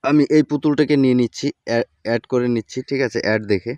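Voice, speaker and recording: Quick-talking man presenting an informal tutorial. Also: mid-range voice, a little mellow, dry recording. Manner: repetitive, addresses viewers familiarly with catchphrases. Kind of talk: informal video tutorial narration.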